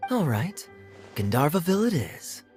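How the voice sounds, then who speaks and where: A man playing a suave, shrewd young captain in a video game, with a smooth, wry and measured delivery. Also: fairly high pitch, big pitch swings, over loud music. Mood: composed. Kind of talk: video game character acting.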